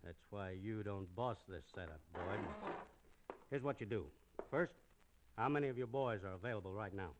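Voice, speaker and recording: An actor playing a bossy, scheming banker villain in an old radio western, speaking coldly and deliberately. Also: male voice, on the low side, background noise, natural voice. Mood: cold, commanding.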